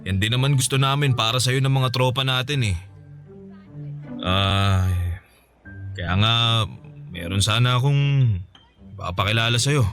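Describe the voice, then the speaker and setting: A man's voice: mid-range voice, unhurried, pauses often, a bit bright, faint music behind, lightly acted. An actor with a Filipino accent playing a teasing, sociable friend, a small business owner and single father, in casual radio drama banter. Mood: teasing, encouraging. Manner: chatty, teasing, chuckling buddy talk.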